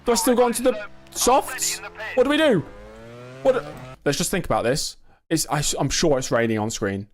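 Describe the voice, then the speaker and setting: A young man casually commenting on his own racing game in a live stream, joking and self-mocking, quick to get excited. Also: fairly high pitch, faint music behind. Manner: quickly excitable under pressure.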